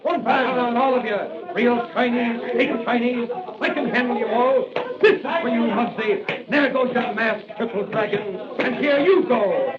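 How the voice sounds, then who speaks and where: An actor playing a bold masked crime fighter in an old radio adventure, declaiming taunts and threats. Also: male voice, very high voice, measured pace, over a noisy crowd. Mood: bold, taunting. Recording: muffled radio recording under loud music.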